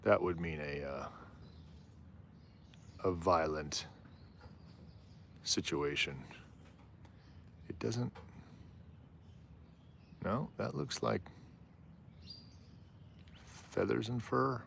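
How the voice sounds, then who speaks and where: A man with a low voice hosting a live stream outdoors on a rooftop, chatting in a rambling, easygoing way. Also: very slow, vocal fry, long pauses, a bit bright, slight background noise. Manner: rambling, easygoing, often trails off and laughs. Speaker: livestream host. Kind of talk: casual live commentary.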